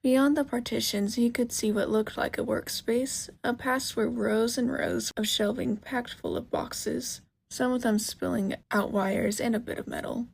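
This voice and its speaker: Husky, creaky-voiced woman narrating a story. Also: on the high side, fairly even intonation, measured pace, hardly any pauses. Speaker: narrator, fan fiction reader.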